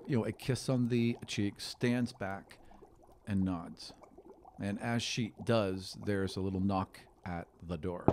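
Low-voiced man narrating as game master. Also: darkish tone, slight background noise. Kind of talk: improvised narration of a tabletop game. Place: small studio room indoors. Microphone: microphone on stand, close.

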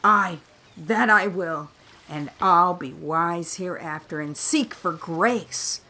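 A woman's voice: lowish voice, takes it slowly, pushed and bright, exaggerated voice. A woman playing a surly, misshapen island monster and servant in a Shakespearean radio play, emphatic and self-reproaching. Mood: rueful, scornful.

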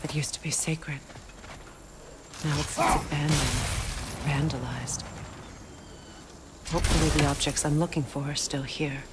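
A proud former queen from a fantasy game, grieving and bitter. Her voice sits low and she speaks in a measured, reflective way, with clear game sound effects behind her. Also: fairly even intonation, very noisy. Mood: somber, reflective. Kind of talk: video game dramatic dialogue.

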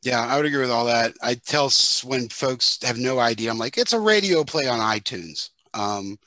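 Man, forties, American accent, crisp bright tone, very dry recording, conversational. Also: mid-range voice, animated, moderate pace, no room sound. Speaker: writer-producer, guest panelist. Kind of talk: casual panel talk. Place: home room, indoors, video call. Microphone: headset with boom mic.

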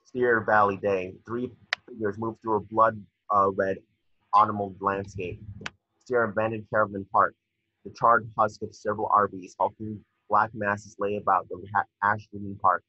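A man's voice: fairly low pitch, level tone, normal speed, bright, forceful tone, husky, no room sound. A man reading stage directions aloud as narrator at a table read, now and then stumbling over words. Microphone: video call. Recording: dull lo-fi video call audio.

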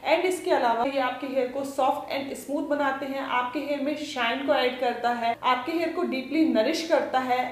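A woman's voice: high voice, fairly even intonation, slight background noise. A young woman hosting a beauty channel, chatting through a sponsored hair product review and mixing English into Hindi. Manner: chatty, mixes English into Hindi, reads product names slowly. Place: indoor room with lamp and plants. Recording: echoey room.

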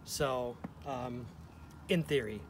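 Relaxed man chatting at the dock. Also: medium pitch, takes it slowly. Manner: relaxed, explains with fillers. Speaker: sailing vlog co-host. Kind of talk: casual chat. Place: outdoors at a dock and in a dinghy. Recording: wind and water noise.

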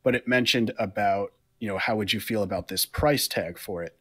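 A man's voice: fairly low pitch, normal speed, a bit bright, husky. Chatty man explaining on a podcast. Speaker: podcast co-host. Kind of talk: casual podcast chat. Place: room at home. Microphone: headset on a video call.

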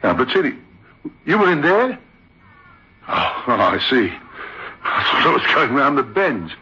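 An actor playing a rattled, sceptical theatre manager in a radio drama, emphatic and repeating himself in agitation. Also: male voice, mid-range voice, very animated, unhurried, pushed and bright, a little hiss or hum. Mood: agitated, sceptical. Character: rattled, sceptical theatre manager telling his uncanny tale. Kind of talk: radio drama acting and first-person storytelling. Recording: muffled old radio recording with music.

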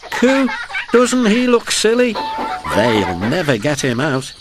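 A man's voice: on the high side, wildly swooping pitch, slow pace, background noise, voice pushed up, heavily acted. A man voicing mocking little boys with a jeering sing-song delivery in a children's storybook.

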